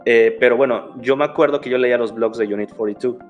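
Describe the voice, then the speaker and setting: A man's voice: mid-range voice, fairly even intonation, fairly quick, highs cut off, soft background music. A young Mexican man with a Mexican Spanish accent, talking casually as an interview guest, rambling, restarting phrases and adding fillers. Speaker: guest, security researcher.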